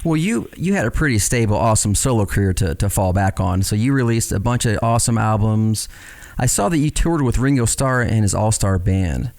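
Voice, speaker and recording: A man's voice: fairly low pitch, lively intonation, brisk, darkish tone. A man interviewing a guest in a casual, enthusiastic, fannish way.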